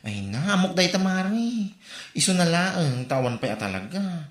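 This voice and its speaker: An actress playing a needy, plaintive neighbour begging in a comedy audio drama. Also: female voice, lowish voice, very animated, a little slow. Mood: pleading. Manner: pleading, plaintive. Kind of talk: comedy radio drama acting. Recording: echoey room.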